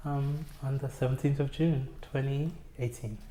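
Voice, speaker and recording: A young man from Nigeria telling his life story in an oral history interview, relaxed and hesitant, with many fillers. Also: mid-range voice, normal speed, roomy sound. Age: young adult. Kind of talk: casual personal storytelling. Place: community center room.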